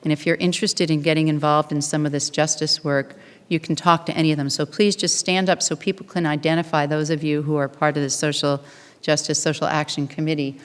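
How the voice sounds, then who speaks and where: Low-voiced older woman in a reverberant hall. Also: flat delivery, fairly quick, clear, resonant tone. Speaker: congregation leader hosting an event. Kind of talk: welcoming announcements to an audience. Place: synagogue sanctuary, microphone on stand at podium. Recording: reverberant hall, podium microphone.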